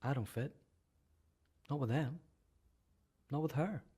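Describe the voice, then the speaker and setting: An actor playing a brooding, wounded young son in a radio drama monologue. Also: male voice, medium pitch, slow, warm tone, husky. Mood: lost, brooding. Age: young adult.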